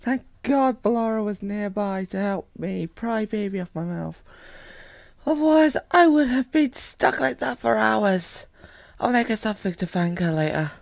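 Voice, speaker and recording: A woman's voice: medium pitch, animated, normal speed, vocal fry, a little mellow, dry recording. Girl doing a caring little fox guy for a comic dub, swoopy voice, very dry close mic. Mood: relieved, weary. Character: eager, caring young male animatronic fox plushie maker. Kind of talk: amateur comic dub voice acting.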